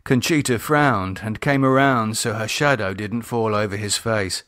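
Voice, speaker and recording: A man's voice: on the low side, moderate pace, a bit bright, dry recording. A man reading a horror novel as its author and narrator.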